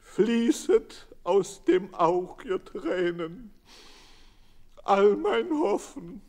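A man's voice: high-pitched, big pitch swings, darkish tone, pitched above the performer's own voice, exaggerated voice. Man as a wailing, melodramatic widow. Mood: grief-stricken. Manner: drawn-out theatrical lament. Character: grieving, melodramatic old widow.

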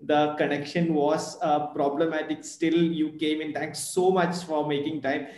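Young moderator in an echoey room. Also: male voice, fairly high pitch, level tone, a little mellow. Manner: hurried, thankful, hesitant fillers. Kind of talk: closing a video call panel. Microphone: webcam on video call. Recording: roomy echo on call audio.

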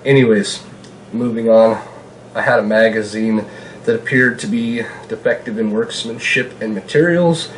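A man's voice: fairly low pitch, moderate pace, a little hiss or hum, low-quality recording. Stuffy-nosed man chatting at his kitchen table. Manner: relaxed, chatty, many fillers. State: stuffy nose from a cold. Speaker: gun channel host. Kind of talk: casual product vlog. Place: home kitchen, indoors.

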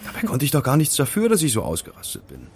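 An actor playing a remorseful, self-pitying young hacker in an audio drama, sheepish and expressive. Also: male voice, mid-range voice, fast, vocal fry. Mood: rueful.

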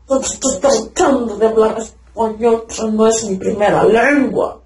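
A woman's voice: fairly high pitch, unhurried, darkish tone, faint music behind. A woman speaking in a trance-like state during a deliverance prayer, groaning, moaning and chanting repeated syllables, heard through a webcam on a video call. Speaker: person receiving deliverance prayer. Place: indoor room at home. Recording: echoey video call audio with faint noise.

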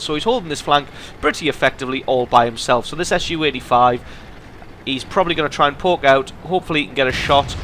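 A man with a cold hosting a gaming channel, commenting on a tank game replay in an amused, lively way. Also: medium pitch, slight background noise. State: has a cold.

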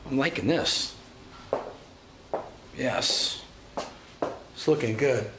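A man's voice: medium pitch, very slow. A man in his fifties, a salon owner, chatting upbeat to a handheld camera as he walks through his salon. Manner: upbeat, chatty, talks to camera while walking. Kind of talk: casual vlog walkthrough. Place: salon interior with hallways. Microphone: handheld camera at arm's length. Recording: echoey room, loud background noise.

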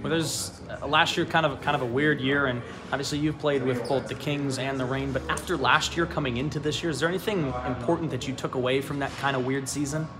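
A man's voice: mid-range voice, fairly even intonation, fast talker, hardly any pauses, music in the background. A male reporter asking long, wordy questions at a postgame press session.

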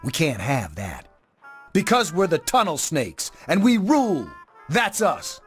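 A man's voice: on the high side, huge pitch swings, unhurried, soft background music. A man voicing a cocky young gang member in a video game, boastful and bullying with a sneering swagger. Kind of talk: video game dialogue acting.

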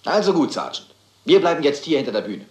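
An actor playing an impatient, brusque, commanding police inspector in a German crime radio play. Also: male voice, a little high, sing-song, quick pace, a little mellow, reverberant. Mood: brusque, exasperated.

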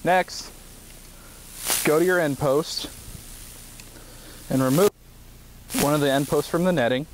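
A man's voice: a little high, measured pace, pauses often, slight background noise, poor audio. A man in his thirties giving a plain step-by-step demonstration outdoors in a grassy field, speaking in short phrases while he works. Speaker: product demonstrator.

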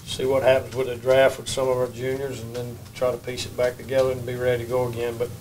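An older man, a baseball head coach, answering questions at a postgame press conference in a plain, measured way at a table microphone. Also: medium pitch, monotone, a little mellow, slight background noise, rough recording. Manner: measured, plain-spoken, many restarts. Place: press room. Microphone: table mic.

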